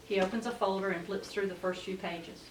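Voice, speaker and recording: A woman's voice: medium pitch, fairly even intonation, brisk. Echoey woman narrating a script. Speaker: narrator of stage directions. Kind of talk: scripted narration at a table read. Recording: echoey room, distant mic, faint noise.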